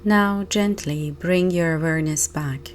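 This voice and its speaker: A woman guiding a meditation in a calm, measured, gentle manner with long pauses between short phrases. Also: sits low, slight background noise. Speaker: meditation guide. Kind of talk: guided meditation narration.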